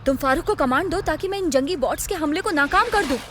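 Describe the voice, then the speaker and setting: A woman playing a brainy, bossy, energetic girl in a cartoon dub, speaking briskly and assertively. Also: sits high, a little hiss or hum. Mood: determined. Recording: music behind the voice.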